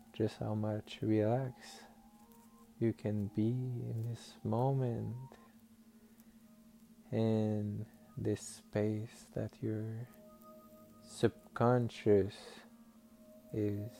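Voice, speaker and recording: Slow gravelly-voiced male hypnotist. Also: fairly low pitch, dark tone. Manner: slow, soothing, suggestive. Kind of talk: guided hypnosis session.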